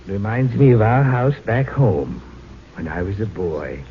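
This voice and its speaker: Courteous wistful middle-aged husband, swooping intonation, old radio comedy, dull noisy recording. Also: male voice, lowish voice, very animated, measured pace. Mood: polite, hesitant. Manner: courteous, earnest, theatrical. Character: henpecked married visitor, wistful, polite, delighted by mess. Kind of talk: old-time radio sitcom acting.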